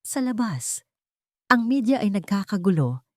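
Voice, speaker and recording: A woman's voice: medium pitch, sing-song, measured pace, dry recording, studio quality. A woman storyteller reading a scripted, dramatic story narration.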